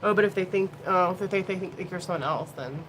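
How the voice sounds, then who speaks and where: A woman's voice: on the low side, fairly quick, slight background noise, rough recording. A woman in her late thirties chatting casually while doing her makeup, rambling and swearing freely. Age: 30s. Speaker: beauty livestream host.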